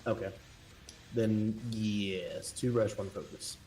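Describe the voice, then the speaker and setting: Halting man playing a tabletop game. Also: lowish voice, mellow, a little hiss or hum, poor audio. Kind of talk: casual game chat, describing character moves.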